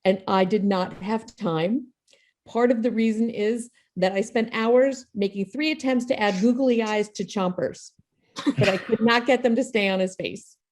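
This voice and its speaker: A woman's voice: mid-range voice, moderate pace, darkish tone, slight background noise. Female host for video-call show introduction; informal, jokey, self-effacing delivery. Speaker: playwright and host of an online table read.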